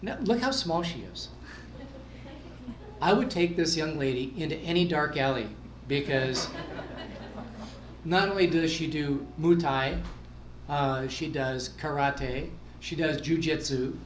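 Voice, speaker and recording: A man's voice: fairly high pitch, slight background noise. A man giving an enthusiastic award introduction speech for a student at a school event. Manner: enthusiastic, proud. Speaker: school district official presenting award. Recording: echoey room with faint ambience.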